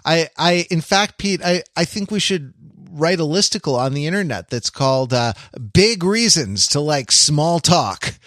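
A man co-hosting a podcast in casual conversation, haltingly thinking aloud and padding his points with hedges. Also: fairly high pitch, expressive, fairly bright tone, no echo, studio quality. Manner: halting, thinking aloud, hedging with "like" and "you know". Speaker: podcast co-host.

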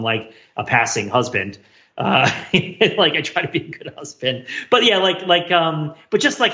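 A man's voice: a little high, fairly bright tone, echoey room, muffled recording. A man talking casually and jokily on a podcast, rambling along and often breaking into amusement as a co-host. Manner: rambling, jokey, frequent laughing. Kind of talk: casual comedic podcast discussion.

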